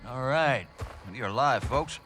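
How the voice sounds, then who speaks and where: A man's voice: medium pitch, measured pace, a bit bright, faint music behind, close to an everyday voice. A man playing a cocky, menacing American military commander, folksy and taunting, with an American accent. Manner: folksy, taunting, foul-mouthed.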